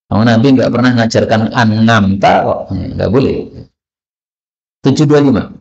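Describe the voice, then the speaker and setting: A man's voice: on the low side, normal speed, vocal fry, darkish tone. A man teaching a religious study lesson, translating texts line by line and asking and answering his own questions. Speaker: Islamic teacher. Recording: dull, lo-fi recording.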